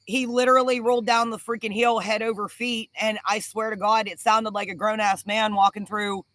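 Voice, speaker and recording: Blunt woman cohost on a live chat, bright punchy voice, animated storytelling, swears a bit. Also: a little high, moderate pace, no room sound. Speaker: homestead channel co-host. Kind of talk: casual live-stream chat.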